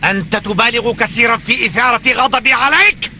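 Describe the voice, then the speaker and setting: A man's voice: very high voice, brisk, a little hiss or hum, full character voice. A man voicing an arrogant, vengeful space ruler in a dubbed cartoon, speaking in a haughty, taunting way. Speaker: enemy ruler. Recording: dialogue over loud music.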